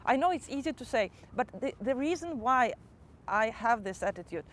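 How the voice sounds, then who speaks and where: A young woman from Ukraine with a Ukrainian accent, a motivational teacher calmly and earnestly reflecting in her own words. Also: fairly high pitch. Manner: calm, earnest and measured, with small restarts. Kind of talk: personal first-person reflection.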